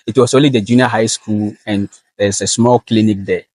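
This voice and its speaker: Young ghanaian guy telling his story in an interview, earnest, lots of ums, lowish voice, dry sound. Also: fairly even intonation, darkish tone, dry recording. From northern Ghana. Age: young adult. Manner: earnest and measured, with frequent fillers. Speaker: guest, valedictorian student. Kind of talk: interview answers.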